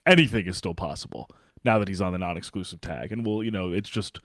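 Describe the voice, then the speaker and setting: A man's voice: deep voice, quick pace, no room sound, clean studio sound. Sarcastic man in a sports chat. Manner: animated, sarcastic, many restarts. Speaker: podcast co-host.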